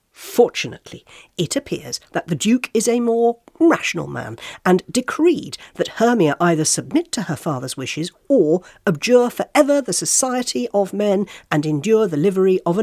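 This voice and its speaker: A woman's voice: medium pitch, darkish tone, no room sound, clean studio sound. A woman playing a gossipy tabloid website reporter, reading a scripted column in an arch, theatrical, teasing way.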